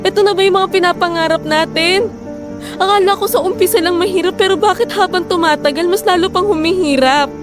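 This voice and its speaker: Woman acting as weary, high-voiced Filipino wife. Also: brisk, over music. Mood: upset, despairing. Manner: complaining, pleading, emotive. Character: weary, frustrated wife worried about household money. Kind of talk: Filipino audio drama acting.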